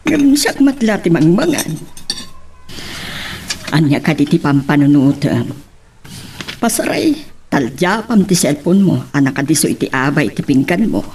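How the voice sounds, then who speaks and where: A woman's voice: low voice, very animated, measured pace, long pauses, a little mellow, a little hiss or hum, full character voice. A woman playing a concerned, nagging mother who scolds and questions in an Ilocano radio drama.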